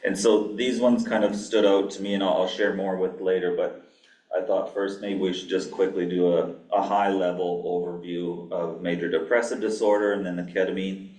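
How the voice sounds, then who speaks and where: A man from Canada giving an academic lecture as a guest pharmacy professor, with a flat monotone delivery, dry asides and many ums. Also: sits low, moderate pace. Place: lecture hall. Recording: echoey hall, mic far off.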